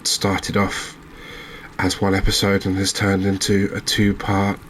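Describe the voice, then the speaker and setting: Low, even-voiced man doing cozy game commentary. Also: a little slow, slight background noise, poor audio. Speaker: gaming channel host.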